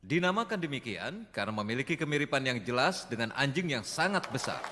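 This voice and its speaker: Seorang pria menyulihsuarakan ilmuwan paruh baya yang bangga dalam film kartun. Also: male voice, medium pitch, very animated, brisk, heavy background noise, lightly acted. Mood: proud. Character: proud middle-aged male scientist presenting his invention. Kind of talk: cartoon dub.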